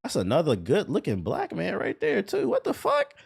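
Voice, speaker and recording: A man's voice: high voice, huge pitch swings, moderate pace, creaky, slightly rough. An American man doing casual live-stream reaction commentary in a slangy, profane way. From US East Coast. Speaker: streamer reacting to game trailers.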